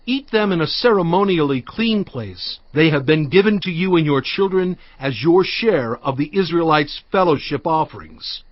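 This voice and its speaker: A man's voice: fairly high pitch, lively intonation, normal speed, hardly any pauses, dry recording, muffled recording, lightly acted. A man playing a stern, commanding prophet in a dramatised audio Bible, speaking in a solemn, emphatic, declamatory way. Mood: instructing. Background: background music.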